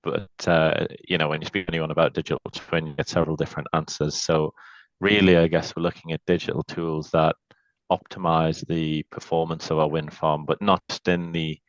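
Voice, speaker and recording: A man's voice: extremely low pitch, level tone, fairly quick, no room sound, lo-fi sound. A man on a video call giving a thoughtful answer as a panelist, hedging as he goes. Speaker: industry panelist.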